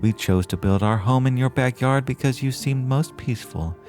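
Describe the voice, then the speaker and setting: A man voicing a gentle, serene elder fairy. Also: mid-range voice, normal speed, faint music behind, pitched above the performer's own voice, natural voice. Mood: gentle reproach. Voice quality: gentle, kind.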